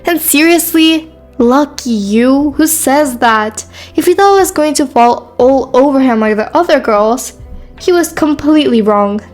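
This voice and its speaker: A woman's voice: sits high, lively intonation, a little slow, darkish tone, soft background music. A woman playing a shy but sarcastic teenage new girl, narrating a scripted romance story in the first person.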